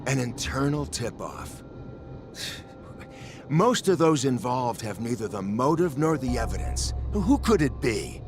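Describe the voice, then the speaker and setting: An actor playing a scheming, blustering middle-aged businessman father in a video game, with theatrical, drawn-out emphasis. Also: medium pitch, very animated, faint music behind. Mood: dramatic, proud.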